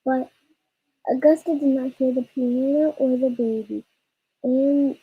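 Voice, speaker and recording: Young girl reading slowly, husky high voice. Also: steady tone, phone-quality sound. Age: child. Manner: halting, stumbles and repeats words. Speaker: child co-reader of a storytime. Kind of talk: story read aloud, halting.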